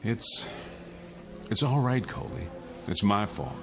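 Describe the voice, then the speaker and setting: An actor playing a brooding, fatalistic condemned prisoner, intense and dwelling on each detail in mid-century television drama style. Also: male voice, on the low side, sing-song, slow pace, vocal fry, fairly bright tone, slight background noise, full character voice. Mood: weary, agitated. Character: condemned man haunted by a recurring dream, brooding, fatalistic. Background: dramatic music.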